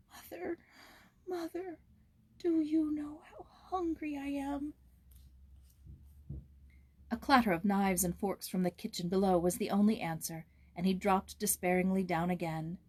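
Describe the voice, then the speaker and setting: A woman narrating a storybook aloud in a gentle, measured, expressive way. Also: medium pitch, no room sound.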